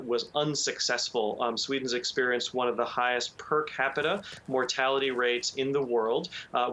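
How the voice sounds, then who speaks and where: A man being interviewed on the news as a public health expert, explaining in a measured way with frequent ums and you knows. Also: mid-range voice, a bit bright.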